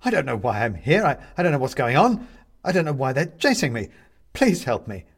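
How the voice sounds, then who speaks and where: A man's voice: on the high side, sing-song, unhurried, slightly rough, pitched above the performer's own voice, full character voice. A man voicing a frightened, bewildered male newcomer in a storybook, stammering and pleading. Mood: panicked. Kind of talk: storybook character voice.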